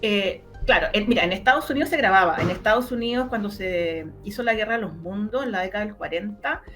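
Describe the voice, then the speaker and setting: A middle-aged woman with a Chilean accent talks in an informal interview. She explains things in a halting way, circling back and repeating phrases while she looks for the right word. Her pitch sits in an average range, and music can be heard with her voice. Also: fairly bright tone. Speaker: interviewee, researcher and former radio journalist. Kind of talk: informal interview, recounting research.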